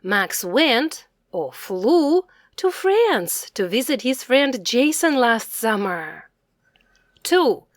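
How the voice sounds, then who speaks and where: A woman narrating a children's storybook expressively, addressing young listeners in a deliberate manner. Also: on the high side, big pitch swings, dry recording, clean studio sound. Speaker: storybook reader.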